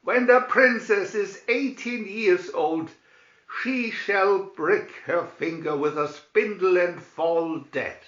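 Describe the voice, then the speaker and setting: A man voicing an angry, evil female fairy from a storybook, loudly declaiming a curse. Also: high voice, slow, pitched above the performer's own voice. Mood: angry cursing. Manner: loud, declamatory. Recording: roomy echo, slightly dull sound.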